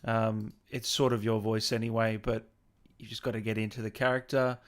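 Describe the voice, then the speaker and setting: A man's voice: fairly low pitch, flat delivery, normal speed. A man hosting a casual livestream interview, conversational and informal as he reads out questions and chats. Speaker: interview host.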